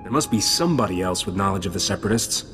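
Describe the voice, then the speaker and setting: Deep, sardonic smuggler captain. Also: male voice, lively intonation, racing. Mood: sceptical. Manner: sardonic, blunt. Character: cocky, blunt male smuggler captain. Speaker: game protagonist actor. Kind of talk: video game voice acting. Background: game music.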